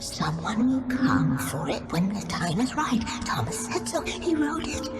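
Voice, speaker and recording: Slow, eccentric old woman. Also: fairly high pitch, big pitch swings, over loud music. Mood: secretive, whispery. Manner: cryptic, obsessive, deliberate. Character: eccentric, wary old female keeper of the light. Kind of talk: video game acting.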